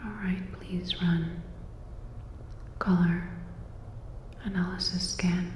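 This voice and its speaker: A woman whispering a sci-fi ASMR roleplay as a calm, clinical robot repair technician, giving soft, measured instructions. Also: lowish voice, roomy sound, in a large hall, a little hiss or hum.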